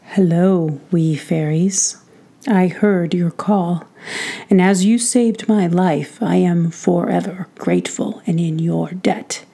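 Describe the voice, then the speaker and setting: A woman voicing a big, kindly but formidable male giant king in a storybook, with slow, courtly, musing speech. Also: fairly low pitch, gravelly, warm tone, full character voice. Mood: grateful, courtly. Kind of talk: bedtime storybook character voice.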